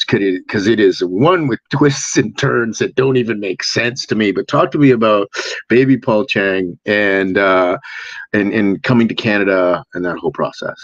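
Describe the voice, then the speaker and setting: A man's voice: on the low side, dry recording. A man in his forties hosting an interview over a video call from home, upbeat and encouraging. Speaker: podcast host. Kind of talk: casual interview. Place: home room. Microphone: video call webcam. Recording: dull, dry webcam audio.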